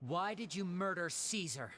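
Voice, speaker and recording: Female actor as a composed young detective-magician, measured delivery, low register. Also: animated, fairly bright tone, slight background noise. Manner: measured, deducing. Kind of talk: game voice acting.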